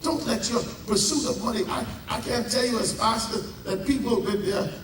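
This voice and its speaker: A Bahamian man preaching a sermon as pastor, with dramatic pauses and drawn-out emphasis. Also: very high voice, a bit bright, slight background noise. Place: large church. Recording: echoey church heard from a distance.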